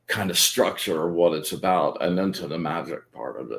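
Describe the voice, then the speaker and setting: Deep-voiced man hosting a rambling spiritual talk. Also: normal speed, fairly bright tone. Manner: rambling and enthusiastic, many fillers; explains step by step. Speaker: host.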